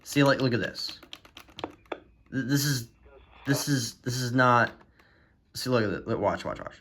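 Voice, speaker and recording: A man talking casually in a halting, rambling way as he presents his collection in a vlog. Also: medium pitch, animated, fairly bright tone, a little hiss or hum. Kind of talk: casual show-and-tell vlog.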